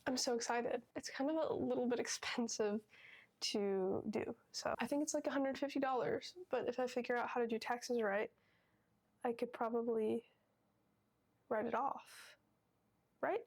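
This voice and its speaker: A woman's voice: on the high side, fairly even intonation, normal speed, darkish tone. A young woman with a cold, sounding stuffed up, chatting casually and apologetically about her art business while talking into a phone as a microphone in her home studio. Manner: chatty, self-deprecating, apologetic. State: congested with a cold. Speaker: artist vlogger. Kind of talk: casual chat to camera.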